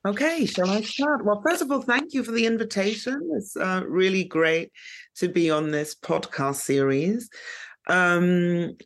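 Woman, German native speaker, slow pace, hesitant, fillers, podcast guest. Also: mid-range voice. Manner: hesitant, many fillers and restarts. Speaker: guest, co-editor. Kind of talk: conversational interview.

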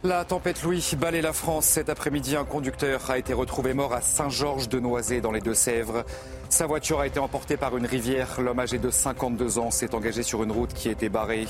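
A man reading the news headlines in a crisp, measured bulletin delivery. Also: mid-range voice, fairly even intonation, over music.

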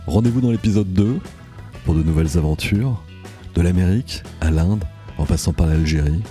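A man's voice: low-pitched, moderate pace, over music. A man hosting a podcast, summing up his guest's ideas and closing the episode. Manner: thoughtful, sums up guest's ideas. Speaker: podcast host. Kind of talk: interview and show outro.

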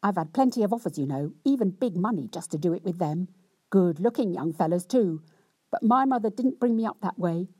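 A woman voicing a cunning, theatrical young wife who berates her husband in a loud, indignant, sweary tirade. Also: a little high, big pitch swings, normal speed, husky, no room sound, pitched above the performer's own voice. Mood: scolding.

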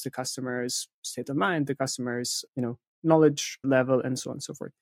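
A man with a medium-pitched voice speaks as an interview guest in a dry, echo-free recording. He explains his working methods in a conversational way, often adding little tag questions to check he is understood. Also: gravelly, a little mellow. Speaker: interviewee, content writing professional.

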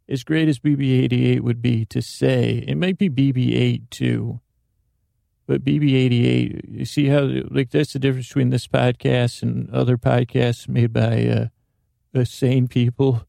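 A man's voice: medium pitch, creaky, warm tone, no echo. Male sleep-podcast host, meandering lulling monologue, dry close sound. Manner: slow, meandering, self-interrupting. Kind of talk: rambling bedtime monologue.

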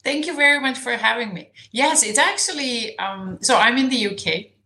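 Soft-spoken Serbian woman on a video call. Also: fairly high pitch, expressive, moderate pace. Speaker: podcast guest, nutrition coach. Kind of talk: casual interview chat. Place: home in southwest England.

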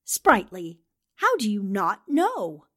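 Woman as a self-assured, lively newspaperwoman. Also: mid-range voice, takes it slowly, exaggerated voice. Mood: teasing, exasperated. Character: self-assured newspaperwoman, teasing and exasperated with her assistant. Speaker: actor in an amateur radio drama re-creation. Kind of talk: 1940s radio acting.

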